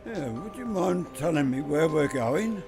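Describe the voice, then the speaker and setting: A man's voice: on the high side, expressive, measured pace, gravelly, over music. A man playing a wisecracking radio-play agent. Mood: wry. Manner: wisecracking, wry. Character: wisecracking male secret agent, cool under threat. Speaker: secret agent hero. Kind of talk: amateur radio drama acting.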